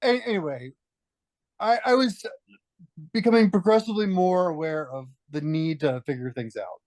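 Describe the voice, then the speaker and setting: Male podcast guest, slightly high voice, halting and reflective, dead-dry recording. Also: animated, a little mellow, dry recording. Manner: hesitant, restarts phrases often. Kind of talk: reflective conversational storytelling.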